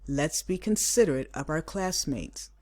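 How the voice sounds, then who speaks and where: A cool, considerate mouse schoolmaster from a children's book, read by a woman. He speaks in a measured, polite classroom manner, calm and kindly. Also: low-pitched. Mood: calm, firm.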